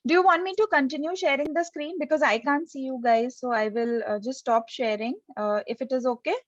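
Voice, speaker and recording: High-voiced woman explaining on a call. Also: fairly even intonation, fairly quick, no echo, dull audio. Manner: explanatory, many fillers and hesitations. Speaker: presenter of an insurance scheme. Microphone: video call.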